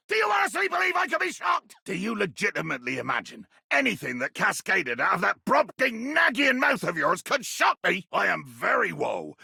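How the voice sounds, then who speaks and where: An actor playing a tiny, furious, grandiose sea captain in a video game, with a raspy, gravelly voice and theatrical roaring insults. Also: male voice, high voice, pushed and bright, no echo, clean studio sound, full character voice. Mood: furious, bombastic. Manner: bombastic, theatrical, archaic nautical insults and sudden roars. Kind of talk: video game character acting.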